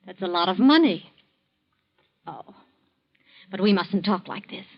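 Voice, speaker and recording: A woman's voice: lowish voice, animated, measured pace, vocal fry, exaggerated voice. An actress playing a calculating, spiteful young woman in 1940s radio style, cool and insinuating. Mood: coolly insinuating. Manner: cool, insinuating, falsely innocent. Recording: dull vintage 1940s radio recording.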